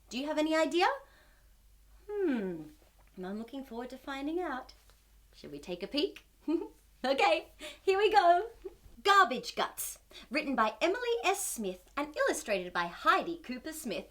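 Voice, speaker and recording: High pitched lady doing kids storytime, super animated, lots of wonder n questions. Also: moderate pace. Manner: animated, exaggerated wonder, gasps, asks listeners questions. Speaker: children's storytime host. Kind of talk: children's read-aloud and singalong.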